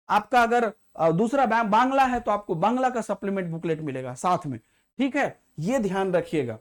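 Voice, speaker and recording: A man, teaching as an exam prep tutor who walks through an exam paper step by step and repeats key points to check understanding. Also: high voice.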